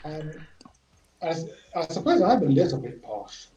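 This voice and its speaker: A man with a posh home counties English accent talks in a high, mellow voice. He speaks hesitantly, with dry wit. His remote mic sounds roomy and a little dull. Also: sing-song, moderate pace, far from the mic. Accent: Southern English, home counties. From South East England. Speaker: panel guest. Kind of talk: casual group chat.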